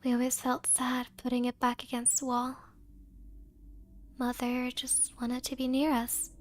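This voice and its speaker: A woman telling an eerie ASMR haunted farmhouse tale as a hushed narrator, in a soft breathy half-whisper. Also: high-pitched, monotone, measured pace, voice pushed down. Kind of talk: ASMR scary storytelling.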